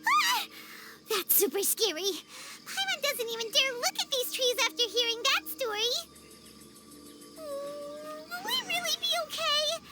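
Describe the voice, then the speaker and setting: A woman voicing a small, childlike floating companion girl in a video game, excitable and easily scared, with a squeaky voice, exclaiming and speaking of herself in the third person. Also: unhurried, loud music behind the voice, exaggerated voice. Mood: frightened.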